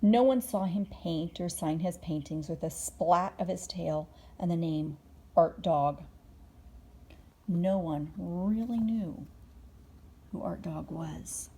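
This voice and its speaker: A woman reading a children's bedtime story aloud expressively, drawing out words and dropping into hushed moments. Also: on the low side, takes it slowly, husky. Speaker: storybook reader.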